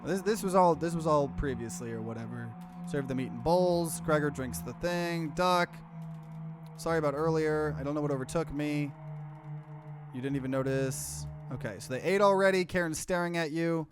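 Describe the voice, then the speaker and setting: A man's voice: on the high side, normal speed, music in the background. A man doing a let's play, reading visual novel narration aloud and dropping in casual, offhand commentary. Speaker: streamer, let's player.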